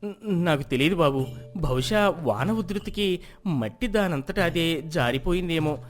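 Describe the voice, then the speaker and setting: A man's voice: on the high side, lively intonation, a little slow. A man playing a humble accused farmer, protesting and pleading defensively in a folk tale. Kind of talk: dramatized Telugu folk moral tale. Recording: music behind the voice.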